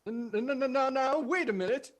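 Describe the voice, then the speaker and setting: Very high-pitched, excitable uncle. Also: male voice, a little fast. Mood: flustered. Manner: stammering, excitable. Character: flustered, excitable old uncle. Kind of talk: 1940s radio acting.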